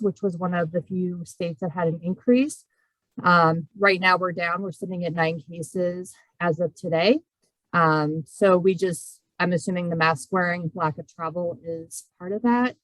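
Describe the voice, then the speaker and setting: A woman gives an informal program update on a work call in an earnest way. Her voice sits a little low and stays very even in pitch, with a dry sound. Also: dry recording, rough recording. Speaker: public health program representative.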